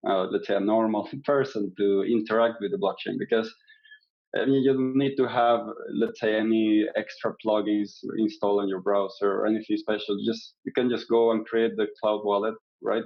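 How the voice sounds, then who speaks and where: A man's voice: fairly low pitch, flat delivery, normal speed, vocal fry. A man from Venezuela, a guest in a casual interview, talking in a hesitant way with many fillers and restarts. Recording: dull lo-fi sound.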